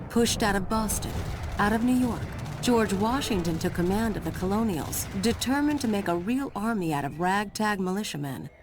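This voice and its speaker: Female game narrator, acted as a daughter recounting history: mid-range, solemn, measured, over a prominent score. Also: mid-range voice, lightly acted. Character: reflective daughter narrating her father's war deeds. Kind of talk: scripted game cutscene narration.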